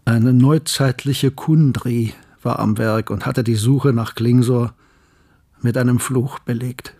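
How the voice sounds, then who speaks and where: Mellow, husky older storytelling man. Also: medium pitch, fairly even intonation. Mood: bitter, reflective. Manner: measured, literary storytelling. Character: betrayed, reflective older man recounting opera and espionage. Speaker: narrator and lead character. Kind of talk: German radio drama monologue and dialogue.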